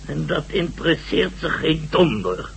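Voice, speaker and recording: A man acting in a radio drama as an earnest, learned professor who lectures deliberately and emphatically. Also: on the high side, big pitch swings, gravelly, heavily acted. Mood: grave, emphatic. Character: earnest learned male professor explaining a doomsday threat. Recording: noisy hissy old radio drama recording.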